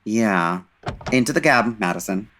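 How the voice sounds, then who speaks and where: Man acting as suave 1940s gentleman detective, very low voice, dry wit, formal, unflappable, radio mystery comedy. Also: expressive, unhurried, slight background noise. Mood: wry, composed. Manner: dry, urbane, formal wording.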